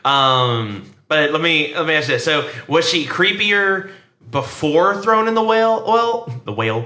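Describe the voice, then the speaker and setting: A man's voice: a little high, lively intonation, a little slow, pushed and bright, roomy sound. A man co-hosting a horror podcast, chatting casually with lots of fillers and restarts. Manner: rambling, restarts, frequent fillers. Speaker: podcast co-host. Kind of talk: casual chat.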